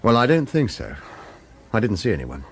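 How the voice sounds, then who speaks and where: A man's voice: on the low side, wildly swooping pitch, moderate pace. An actor playing a wary hitman who narrates a radio drama and speaks protectively, with hesitant reassurance. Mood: wary, probing. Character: wary male hitman narrator, protective of his lover, evasive. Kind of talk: radio drama acting with first-person noir narration.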